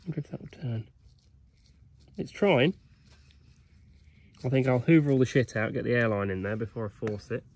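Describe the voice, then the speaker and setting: A man's voice: mid-range voice, animated, moderate pace, pauses often, a little mellow. A young man in his twenties, chatting cheekily and thinking aloud as he strips an engine outdoors at night, recorded on a nearby camera. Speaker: vlogger mechanic. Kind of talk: casual workshop narration. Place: outdoors at night by an old digger.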